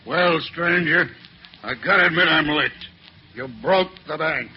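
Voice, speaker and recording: An actor playing a crafty, falsely friendly old one-legged soldier who keeps a saloon, with folksy, wheedling speech in an old radio drama. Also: male voice, a little high, a little slow, faint music behind, close to an everyday voice. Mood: hearty, folksy. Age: older. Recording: muffled vintage radio broadcast.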